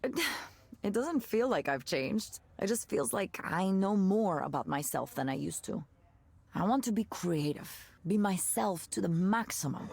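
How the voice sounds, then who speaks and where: An actress playing a candid, jaded former tech worker in a visual novel, conversational and sardonic with disgusted asides. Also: female voice, medium pitch, lively intonation, unhurried, vocal fry, darkish tone, husky, no room sound, heavily acted. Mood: weary, earnest. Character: candid, jaded woman ex-tech worker telling a wry story. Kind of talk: naturalistic visual novel voice acting.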